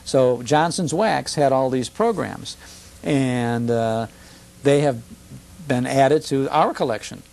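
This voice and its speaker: Older midwest guy, gravelly voice, chatting and explaining stuff in an interview. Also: mid-range voice, slow pace, darkish tone. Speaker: radio show broadcaster, interview guest. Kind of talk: conversational interview, explaining and recounting. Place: television studio.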